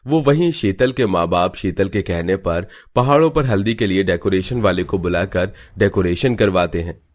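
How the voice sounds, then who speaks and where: A man's voice: low-pitched, normal speed, a little mellow. A man giving scripted storytelling narration for a cartoon.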